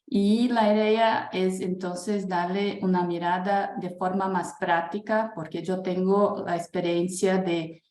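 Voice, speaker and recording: A woman with a Brazilian Portuguese accent, a native Portuguese speaker, presents slowly and hesitantly, filling pauses with fillers and restarting her phrases. Her voice sits low and carries a roomy echo over a video call. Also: steady tone, a little mellow. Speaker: guest speaker. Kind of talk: webinar presentation.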